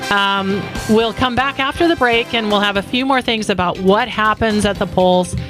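A woman's voice: mid-range voice, fairly bright tone, faint music behind. A woman hosting a call-in talk radio show, giving her opinions and taking calls in an opinionated, chatty way. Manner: opinionated, chatty, quick to thank callers. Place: radio studio. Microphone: studio microphone.

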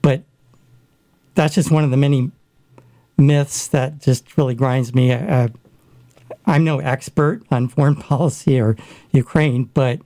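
A man chatting in a casual discussion, his speech halting and full of restarts. His voice is dark and mellow, with a middle pitch. The recording is dry and close. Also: fairly even intonation, no echo. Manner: halting, many fillers and restarts. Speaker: guest discussing Ukraine.

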